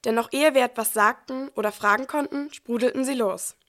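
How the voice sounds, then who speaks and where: A woman playing a gentle young new mother in a nativity audio play. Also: fairly high pitch, a little fast, natural voice. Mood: narrating, hushed wonder.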